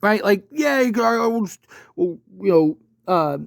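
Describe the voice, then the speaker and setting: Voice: medium pitch, animated, a little slow, creaky, dry recording, clean studio sound. A video host delivering a casual, opinionated rant in a conversational, emphatic way, often checking in with rhetorical questions. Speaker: YouTube host giving film commentary.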